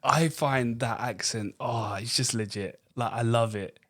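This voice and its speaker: A man's voice: mid-range voice, a bit bright. Young man hosting with slangy banter. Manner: casual slangy banter, quick to laugh. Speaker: podcast host. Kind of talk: casual podcast conversation.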